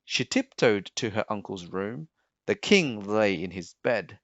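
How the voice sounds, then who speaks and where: Male picture-book reader: measured, expressive, very varied pitch, dry and a little dull in sound. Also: dry recording. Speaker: storybook reader. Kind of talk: children's read-aloud narration. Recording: dull, slightly muffled sound.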